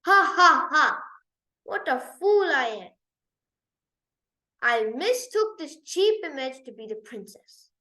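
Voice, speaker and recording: Woman putting on an excitable, high-pitched old hunchbacked nurse. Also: slow pace, long pauses, full character voice. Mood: boastful, amused. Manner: exclaiming, theatrical. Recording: roomy, echoey sound.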